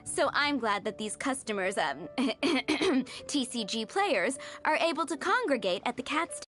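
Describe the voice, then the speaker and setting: A woman's voice: high voice, very animated, unhurried, a bit bright, heavily acted. An actress playing a genial, composed woman who keeps a tavern in a video game, speaking articulately and hospitably. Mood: welcoming, amused. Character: genial adult woman tavern keeper welcoming card players. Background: game music.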